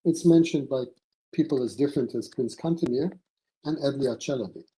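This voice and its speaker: A man presents a scholarly paper in a formal, read-aloud style. His voice sits at a middle pitch with a very dark, soft-edged tone. He phrases deliberately, setting out each segment in a measured way. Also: poor audio. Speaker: academic presenting a conference paper. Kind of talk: formal scholarly lecture read from a prepared text.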